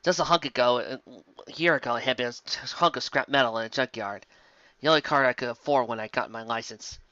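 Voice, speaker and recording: Casting: theatrical, mocking fanfic narrator, mid-pitched with a sharp forceful edge, on a slightly muffled close-sounding mic. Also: a little fast, no echo. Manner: theatrical, exclamatory, sarcastic asides. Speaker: host of a fanfic reading show. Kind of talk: comedic dramatic reading with mocking commentary.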